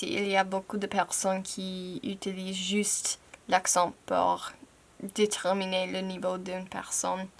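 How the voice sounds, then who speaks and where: A young American woman, a native English speaker, talking through a personal video in careful learner French. She speaks hesitantly, pausing and correcting herself as she goes. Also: mid-range voice. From United States. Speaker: language-learning YouTuber.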